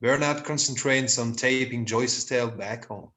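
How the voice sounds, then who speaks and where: Medium-pitched man reading stage directions. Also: unhurried, pushed and bright. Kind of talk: scripted narration.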